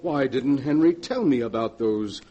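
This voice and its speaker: A man's voice: medium pitch, lively intonation, measured pace, mellow, muffled recording. A middle-aged man playing a solemn, anxious father, earnest and paternal, in 1940s radio horror acting. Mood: troubled. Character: grave, anxious father of a cursed family. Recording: noisy 1940s radio recording.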